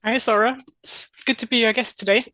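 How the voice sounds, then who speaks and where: A woman's voice: a little high, steady tone, brisk, sharp tone, dry recording. Young Austrian woman, dry close sound. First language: German. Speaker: podcast guest, localization project manager. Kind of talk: interview answers, explaining.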